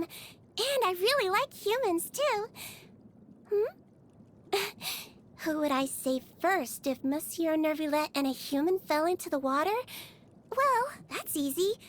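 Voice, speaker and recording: A woman playing a small, sweet, earnest creature who works as a nurse in a video game, gentle and bubbly with an innocently clinical manner. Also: extremely high pitch, slight background noise. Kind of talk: video game character voice lines. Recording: music behind the voice.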